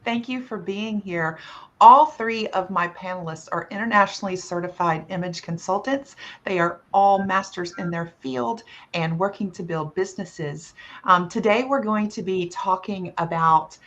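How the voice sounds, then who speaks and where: A middle-aged woman hosting a live online panel as a coach, speaking in a friendly, measured way with some ums, over a video call. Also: medium pitch, a bit bright, highs cut off. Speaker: host and coach.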